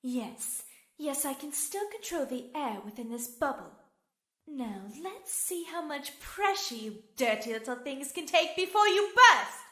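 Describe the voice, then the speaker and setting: A woman's voice: sing-song, unhurried, bright, forceful tone, full character voice. A woman playing an arrogant, cruel wind sorceress in an audio drama, with a haughty, theatrical delivery that taunts and threatens. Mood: menacing, scheming. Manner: theatrical, haughty, swinging from purring menace to shrill shrieks.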